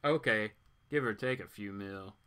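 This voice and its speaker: A man's voice: lowish voice, moderate pace, bright, forceful tone, clear, resonant tone, voice pushed down. A man playing a folksy, boastful rich father from a comic book, chatty and informal. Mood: jovial, boastful.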